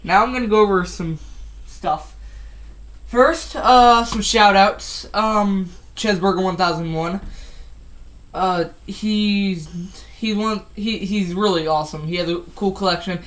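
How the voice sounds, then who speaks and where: A man's voice: high voice, unhurried, a bit bright. A fifteen-year-old boy chatting casually about his toy collection, rambling with lots of ums and restarts. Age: teens. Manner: rambling, with many ums and self-corrections. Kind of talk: casual collection update vlog.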